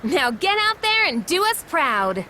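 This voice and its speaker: An actress playing a spirited, commanding woman who leads seafarers' rites in a video game, with a brisk manner. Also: very high-pitched, very animated, pushed and bright, slight background noise, exaggerated voice. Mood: brisk, encouraging. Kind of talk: English video game cutscene voice acting.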